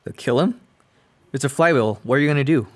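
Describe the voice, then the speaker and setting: A pompous, earnest civic reformer, acted by a man with an ordinary middle-pitched voice. He speaks in stiff, formal speeches, as in an old radio drama. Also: a little fast, lightly acted. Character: earnest pompous crime-fighting committee man. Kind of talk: radio play acting.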